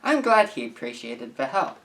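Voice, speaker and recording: A young man of about eighteen reading messages aloud and commenting to camera as a YouTuber, with an earnest, deliberate, halting delivery. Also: mid-range voice, very animated, a bit bright, far from the mic, low-quality recording. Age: young adult, about 18.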